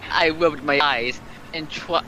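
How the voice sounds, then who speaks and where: Theatrical man with a very bright, forceful voice. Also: fairly high pitch, steady tone, slow, low-quality recording. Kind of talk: dramatic story reading with joking asides. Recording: some background noise.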